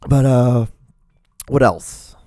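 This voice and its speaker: A man's voice: mid-range voice, drawn-out words, a little mellow, dry recording, studio quality. A man in his thirties from Honduras, hosting a solo podcast in a casual, rambling, profane way with many restarts, sitting in a room at a microphone. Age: 30s. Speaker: podcast host. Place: room at home, seated in front of a camera. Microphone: studio microphone, wearing earphones.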